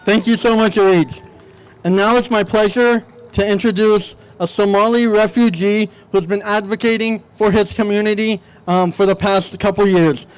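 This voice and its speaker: Male event host, high and very clean-toned voice, handling speaker introductions at a rally. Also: fairly even intonation, normal speed, clean tone, poor audio.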